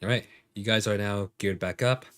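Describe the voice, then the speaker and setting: A man's voice: lowish voice, fairly even intonation, moderate pace, a bit bright, clear, resonant tone, dry recording. A man acting as game master, narrating a tabletop role-playing game casually in informal, improvised phrasing.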